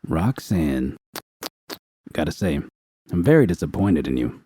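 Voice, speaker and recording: Man putting on a deep, smug gang boss. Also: big pitch swings, dark tone, a little hiss or hum, heavily acted. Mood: menacing, smug. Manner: slow, smug, threatening. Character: bloated menacing male gang boss, smug.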